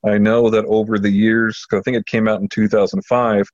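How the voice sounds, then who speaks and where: Lowish voiced guy podcasting, casual n into it, stumbles over words, kinda muffled roomy audio. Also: little pitch movement. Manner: enthusiastic, halting delivery with repeated words. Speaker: podcast host. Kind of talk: casual chat.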